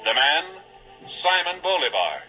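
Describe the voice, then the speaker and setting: A man's voice: on the high side, very animated, unhurried, lo-fi sound, exaggerated voice. A man narrating a 1940s radio drama in a solemn, declamatory style. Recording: old radio broadcast, loud music behind.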